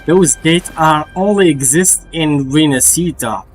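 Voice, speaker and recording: A man's voice: on the high side, a little slow. A man streaming a game and reacting live in a casual, informal, slangy way. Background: game music.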